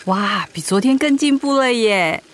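An actress playing a gentle, caring, encouraging wife in a Mandarin radio drama. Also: female voice, fairly high pitch, lively intonation, a little slow, a little hiss or hum.

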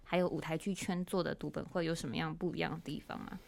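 A woman's voice: low-pitched, fairly even intonation, fast talker, gravelly, a little mellow. A woman with a Taiwanese Mandarin accent hosting a conversational podcast interview, explaining things and readily agreeing as she talks. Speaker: podcast host and audio drama writer.

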